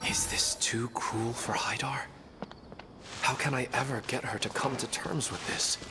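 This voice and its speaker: A man's voice: medium pitch, steady tone, unhurried, whispered, over loud music, close to an everyday voice. A man playing a humble, devoted father in a video game, sincere, wistful and resigned.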